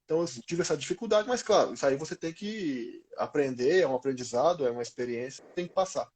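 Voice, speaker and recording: A man's voice: fairly high pitch, animated, fast talker, darkish tone. A man in his thirties with a Brazilian Portuguese accent, telling his experiences as an athlete in an informal, slangy interview chat. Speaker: former professional footballer being interviewed.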